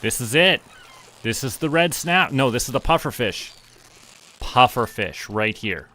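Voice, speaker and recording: A man's voice: medium pitch, expressive, unhurried, bright, forceful tone, slight background noise. A man giving casual live game commentary, talking to himself and his viewers as a streamer. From Canada.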